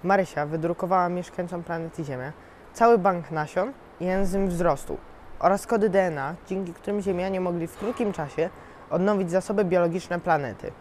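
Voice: medium pitch, a little fast, darkish tone, slight background noise. A young teenager reading a scripted story as narrator. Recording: reverberant hall.

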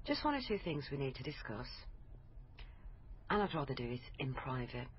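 An actress playing a persistent, matter-of-fact police family liaison officer in a naturalistic radio drama. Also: female voice, extremely low pitch, sing-song, normal speed. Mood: firm, probing. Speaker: actor in radio crime drama. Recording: dull, noisy lo-fi radio recording.

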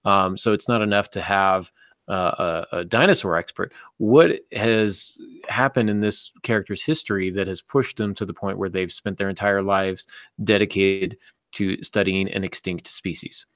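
Low, creaky-voiced middle-aged man. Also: fairly even intonation, normal speed, a bit bright, dry recording, studio quality. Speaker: podcast host and novelist. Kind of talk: casual discussion of fiction writing. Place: indoor office, on a video call.

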